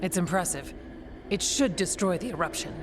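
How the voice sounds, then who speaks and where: An actress playing a steadfast, practical warden fighter in a fantasy video game, matter-of-fact and resolute. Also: female voice, lowish voice, animated, moderate pace, natural voice. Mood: businesslike. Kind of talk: video game dramatic acting.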